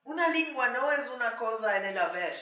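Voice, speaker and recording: A woman from Turkey whose first language is Turkish, speaking slowly and thoughtfully with many pauses in a documentary interview. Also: fairly high pitch, expressive, sharp tone. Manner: slow, thoughtful, with frequent pauses and fillers. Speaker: Ladino language advocate interviewee. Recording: roomy sound, mic at a distance.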